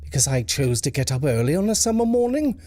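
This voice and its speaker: A man voicing an uneasy, evasive gentleman of a country house in a story reading, with clipped, strained composure. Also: a little high, very animated, fast talker, pitched above the performer's own voice. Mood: uneasy, weak.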